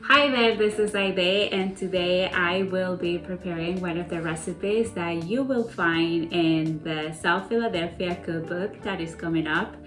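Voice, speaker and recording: A woman's voice: on the low side, unhurried, few pauses. Woman from Ecuador, echoey kitchen. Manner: warm, reflective, with many fillers and restarts. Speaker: community contributor. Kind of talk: cooking demonstration narration. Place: home kitchen.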